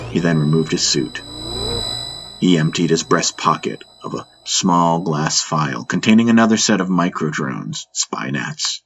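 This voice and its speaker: A man reading a science-fiction audiobook as a dramatic scripted narration. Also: deep voice.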